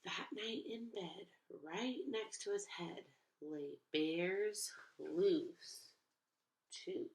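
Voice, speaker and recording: A woman reading a picture book aloud to young children, pausing to ask them questions in an animated way. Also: lowish voice, creaky.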